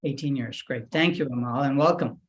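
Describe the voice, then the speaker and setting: A man's voice: mid-range voice, normal speed. A man hosting and moderating a panel discussion over a video call, politely introducing each guest. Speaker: panel host.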